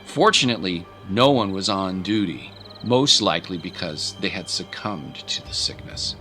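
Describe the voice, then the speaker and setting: A man's voice: deep voice, expressive, moderate pace, sharp tone, faint music behind. A man reading scripted, dramatic story narration as the narrator of an audio drama.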